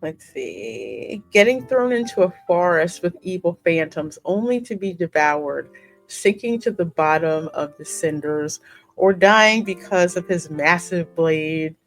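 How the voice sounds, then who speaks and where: Female game streamer, lowish voice, expressive read-aloud narration over background music. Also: measured pace. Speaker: gaming streamer reading the game heroine's part aloud. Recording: music running behind the voice.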